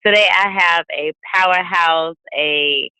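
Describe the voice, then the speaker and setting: A woman hosts an online interview with a bright, forceful voice. She is lively and slangy, laughing easily between her words. The sound is dry and close. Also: fairly low pitch, unhurried, clean tone, no room sound, low-quality recording. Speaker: host of a virtual summit. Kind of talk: interview hosting. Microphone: video call.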